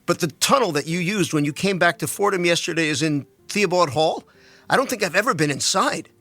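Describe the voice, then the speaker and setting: A man's voice: high voice, animated, fairly quick, sharp tone, no room sound, soft background music, full character voice. A man playing a curious, joking married teacher in a dramatic reading, with an inquisitive, wry manner.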